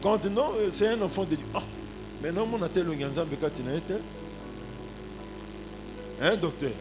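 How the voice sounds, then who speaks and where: A man's voice: sits high, expressive, moderate pace, music in the background. A man in his forties with a Congolese accent preaching a sermon as a pastor, punctuating his points with exclamations and rhetorical questions. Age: 40s. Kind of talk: animated sermon with anecdotes and congregational address.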